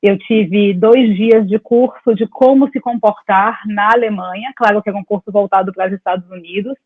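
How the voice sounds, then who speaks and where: A woman's voice: medium pitch, fairly even intonation, moderate pace, breathy edge, no room sound. A woman in her forties from Salvador, Brazil, a dentist talking as an interview guest over a video call, telling her story in a meandering, talkative way. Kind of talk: casual conversation over a call. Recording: dry, tinny phone-like call audio.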